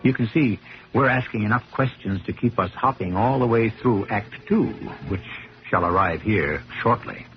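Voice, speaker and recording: A male host narrates an old radio mystery over prominent music. His voice is fairly low and moves expressively through deliberate, rhetorical phrases. The broadcast sound is somewhat muffled. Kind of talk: theatrical scripted radio drama narration.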